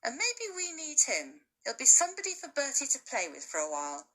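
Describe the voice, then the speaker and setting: A woman's voice: high voice, huge pitch swings, fairly quick, pushed and bright, pitched above the performer's own voice, full character voice, rough recording. A woman reading aloud to a class, voicing a determined, tender mother on a farm, firm and pleading.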